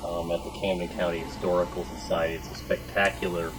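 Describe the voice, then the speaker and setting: A man's voice: sits low, level tone, fast talker, music in the background. A man speaking up as a knowledgeable audience member in an informal club discussion. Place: club meeting room. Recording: noisy meeting room.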